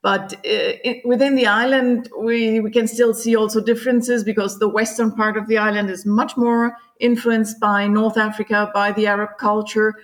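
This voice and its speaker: A middle-aged woman from Germany with a German accent, a tour guide talking as a podcast guest, laughing often and pausing on fillers. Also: fairly high pitch, level tone. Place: home in Sicily, remote call.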